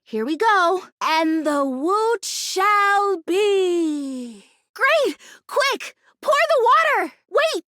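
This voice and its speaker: Hopeful lil plastic plant girl for a video game, super high voice, dry close sound, earnest and dramatic. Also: big pitch swings, extremely slow, bright, forceful tone, dry recording, heavily acted. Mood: eager, instructing. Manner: earnest, dramatic, childlike phrasing and mild insults. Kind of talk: video game character acting.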